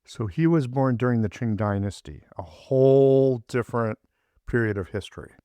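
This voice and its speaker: A man's voice: medium pitch, moderate pace, mellow, clean tone, no echo. Curious man hosting a podcast. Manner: curious, meandering questions. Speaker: podcast host. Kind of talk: casual interview.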